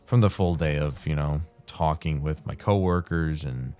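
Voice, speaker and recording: A man casually rambling while playing a game, his throat dry and tired, with a calm, soothing way of talking. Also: rumbling low, moderate pace, clear, resonant tone. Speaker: gaming channel host. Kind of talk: casual rambling commentary over gameplay.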